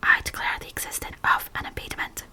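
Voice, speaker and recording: A steady male lawyer lays out his case as a woman whispers him, every word measured, calm and formal. The whisper is airy and very bright. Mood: firm.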